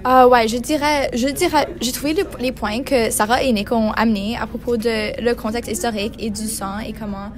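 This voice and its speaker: A woman's voice: on the high side, steady tone, normal speed, few pauses, a crowd in the background. Hesitant teen girl, lots of fillers. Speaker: student in a class discussion. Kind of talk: classroom round-table discussion.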